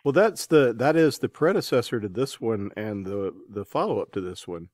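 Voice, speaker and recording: A man's voice: mid-range voice, animated, moderate pace, a little mellow, dry recording, clean studio sound. A man hosting a conversational interview, asking an author questions. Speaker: podcast host interviewing an author.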